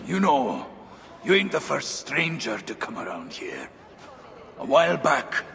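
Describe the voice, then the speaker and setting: An actor playing a boisterous, coarse dwarf chief in a video game, blunt and earthy. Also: male voice, mid-range voice, vocal fry, sharp tone, exaggerated voice. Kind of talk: video game cutscene acting. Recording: faint ambient noise.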